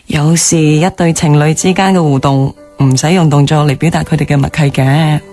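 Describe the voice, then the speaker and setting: Low-voiced candid woman, acted in a radio drama. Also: steady tone, moderate pace, dark tone, close to an everyday voice. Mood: probing, friendly. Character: candid, self-possessed woman, a wistful former girlfriend. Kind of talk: naturalistic radio drama dialogue. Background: music bed.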